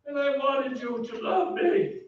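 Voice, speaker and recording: A high-voiced mad masked composer. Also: male voice, animated, a little slow, a little hiss or hum. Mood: bitter, pleading. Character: tormented, mad masked composer, possessive and self-loathing. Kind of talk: old-time radio drama acting. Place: theatre stage. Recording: echoey stage, distant, muffled, faint music.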